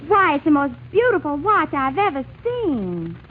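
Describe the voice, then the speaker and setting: A wide-eyed, gushing onlooker in vintage radio comedy. Her voice is high and very animated. The recording sounds muffled and old. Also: measured pace, background noise, full character voice. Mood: awed. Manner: gushing, breathlessly impressed. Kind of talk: 1940s radio comedy acting.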